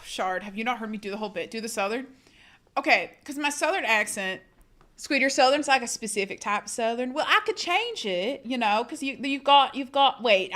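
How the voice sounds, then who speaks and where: Woman, livestreamer, casual chat, bright tense tone, slightly high voice, self-deprecating, laughs a lot. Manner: chatty and self-deprecating, laughs often, reads chat aloud. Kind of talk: casual chat with a live audience.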